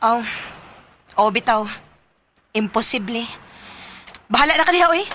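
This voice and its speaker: A woman's voice: fairly high pitch, animated, a little slow, bright, forceful tone, slight background noise. A woman playing a feisty, proud young student in a radio drama, with a Cebuano Filipino accent. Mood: miffed.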